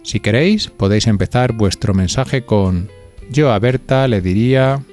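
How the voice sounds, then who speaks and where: A man's voice: fairly low pitch, expressive, moderate pace, a little mellow, soft background music. A man with an accent from Spain hosting a podcast, reading scripted narration and putting conversational questions to listeners. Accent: Spanish from Spain. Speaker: podcast host.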